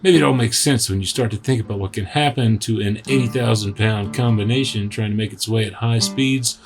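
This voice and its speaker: A man's voice: on the low side, a little fast, over music. A man hosting and narrating a trucking news segment, reading scripted lines with dry, wry asides. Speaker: news editor and host.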